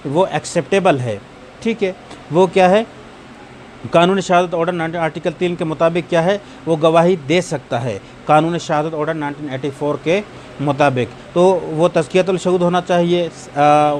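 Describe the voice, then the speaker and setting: Higher-pitched Pakistani man giving a lecture. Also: slight background noise. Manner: repeats key points with rhetorical questions. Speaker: law lecturer. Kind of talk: explanatory lecture mixing in English legal terms.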